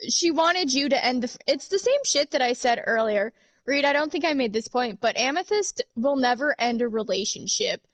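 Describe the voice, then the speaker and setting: Giggly woman with a tiny high voice. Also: no echo. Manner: giggly, self-mocking, swears casually. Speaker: co-host chatting during a gameplay stream. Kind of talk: casual chat.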